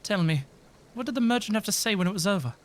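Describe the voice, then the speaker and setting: A man's voice: high voice, lively intonation, quick pace. A man voicing a grave, anguished video game character, speaking in an earnest, formal, theatrical way. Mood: grave, pleading. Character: grave, anguished game character pleading against a futile cause. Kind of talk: dramatic read-aloud of video game dialogue.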